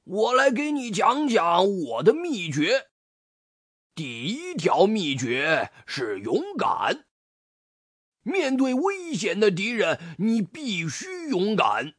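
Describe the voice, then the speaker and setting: A narrator voicing a husky old grandfather toad, wildly expressive. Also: mid-range voice, slow pace, no room sound, clean studio sound, voice pushed up, heavily acted. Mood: warm, instructive. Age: older. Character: kindly old grandfather toad, brave and wily.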